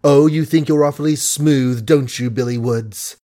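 A man's voice: a little high, animated, unhurried, a little mellow. A man voicing a young woman who mocks with sweet, suave sarcasm in an audiobook reading. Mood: sweetly mocking. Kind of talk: audiobook character voice.

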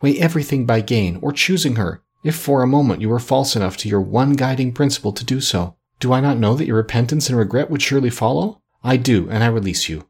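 A man reading an audiobook, voicing a gentle, sorrowful young woman who speaks with earnest, measured reproach. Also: medium pitch, expressive, husky. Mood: resigned, sorrowful.

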